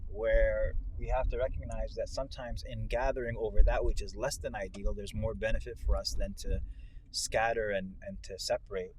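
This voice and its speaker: Male co-host, low register, casual religious talk in an explanatory way, recorded in a car with clear road noise. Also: steady tone, moderate pace, darkish tone, rough recording. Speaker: co-host and speaker on a ride-along talk show. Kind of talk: casual reflective religious discussion. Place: inside a moving car.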